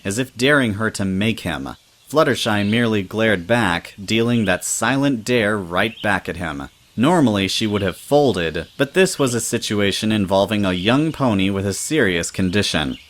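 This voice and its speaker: A man's voice: on the low side, a bit bright. A man reading scripted storybook narration as the narrator of an audio tale.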